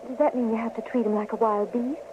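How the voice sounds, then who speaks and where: A woman's voice: a little high, steady tone, fast, close to an everyday voice. A woman playing a prim, earnest, morally insistent lady stagecoach passenger in an old-time radio western. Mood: indignant. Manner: polite, principled, insistent. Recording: tinny, noisy old radio recording.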